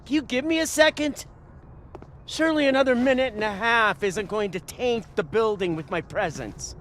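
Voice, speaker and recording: Woman playing an indignant, high-pitched sarcastic professor. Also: normal speed, faint music behind, natural voice. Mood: sarcastic, defiant. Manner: biting, agitated, sweary. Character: indignant, sarcastic professor being escorted off campus. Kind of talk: naturalistic video game voice acting.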